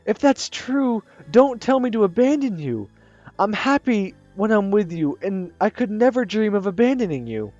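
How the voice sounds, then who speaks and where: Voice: mid-range voice, very animated, moderate pace, darkish tone, dry recording, highs cut off, soft background music, pitched above the performer's own voice, natural voice. A gamer reading a visual novel aloud, voicing an earnest, caring teenage schoolboy who speaks urgently. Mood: reassuring. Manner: urgent, insistent.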